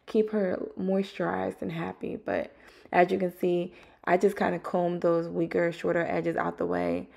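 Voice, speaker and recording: Young woman, raspy, gravelly, low-pitched, casual home tutorial chat. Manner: relaxed, chatty, peppered with ums. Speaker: hair tutorial creator. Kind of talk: casual how-to narration. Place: home bathroom, indoors.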